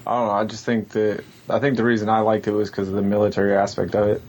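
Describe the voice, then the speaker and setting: A man's voice: on the low side, completely flat delivery, quick pace, a little mellow. A man chatting casually as a podcast guest, plain-spoken and understated. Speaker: podcast guest, military veteran. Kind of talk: casual chat. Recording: faint background noise.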